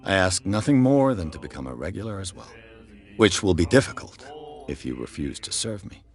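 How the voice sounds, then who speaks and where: A persistent investigator from the mainland, polite but pressing. His voice sits very low and stays measured as he asks one question after another. He sounds like a character in a narrative video game. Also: sing-song, a little mellow. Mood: probing. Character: inquisitive male investigator from the mainland.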